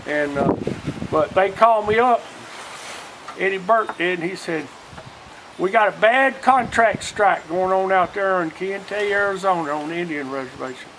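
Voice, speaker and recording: A man's voice: high-pitched, normal speed, fairly bright tone, slight background noise, rough recording. An older man with an Alabama Southern accent, telling stories from his past in a folksy, rambling, casual way. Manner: folksy, rambling, often quoting past conversations. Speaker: storyteller, retired union organizer. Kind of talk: casual reminiscing.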